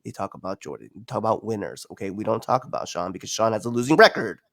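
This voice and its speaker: Laughing, bright-voiced man joking around. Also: lowish voice, lively intonation, fast, gravelly, no echo, clean studio sound. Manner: laughs often, swears freely, jokey. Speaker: podcast co-host. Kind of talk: casual wrestling banter.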